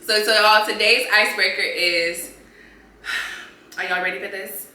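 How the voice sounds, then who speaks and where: A young woman with a stuffy, congested voice from a cold co-hosts a podcast, chatting in slang and calling her listeners y'all. Her tone is bright, and the very echoey room rings behind her. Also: mid-range voice, fairly even intonation, measured pace. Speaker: podcast co-host. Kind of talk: casual chat.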